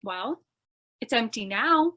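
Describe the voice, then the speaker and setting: A woman's voice: a little high, animated, takes it slowly, sharp tone, heavily acted. A woman playing a plucky, scatterbrained young newspaper reporter in an old-time radio comedy mystery, flustered and eager. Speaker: voice actor.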